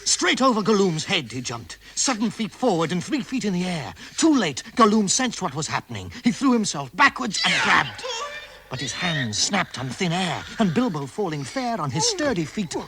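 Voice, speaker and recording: A man narrating a vintage radio drama as a measured, dramatic storyteller. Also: high voice, sing-song. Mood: rising drama. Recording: slightly noisy vintage 1960s radio recording.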